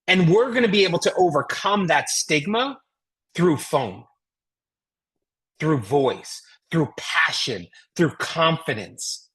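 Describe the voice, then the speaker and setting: A woman's voice: sits low, expressive, takes it slowly, breathy edge. A woman with an American accent giving a motivational sales coaching talk as a trainer, emphatic and folksy, with rhetorical questions. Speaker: network marketing trainer.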